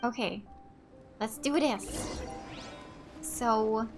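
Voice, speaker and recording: A woman from Finland doing casual let's play commentary, reacting aloud and thinking out loud as she plays. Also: a little high, extremely slow. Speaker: gaming YouTuber. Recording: game music behind the voice. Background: video game music.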